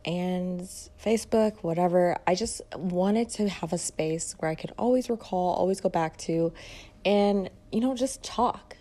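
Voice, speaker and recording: A woman's voice: on the low side, measured pace, warm tone. A woman in her twenties from Detroit hosting her own podcast, talking casually and rambling with many fillers, in a soothing voice. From Detroit, Michigan. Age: 20s. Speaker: podcast host, fitness and mindset coach. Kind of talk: casual solo podcast monologue.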